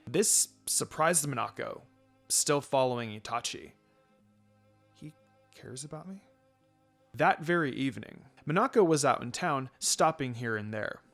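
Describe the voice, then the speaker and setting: A man's voice: medium pitch, animated, normal speed, vocal fry, fairly bright tone. A man narrating a fan fiction story, reading scripted prose aloud. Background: music.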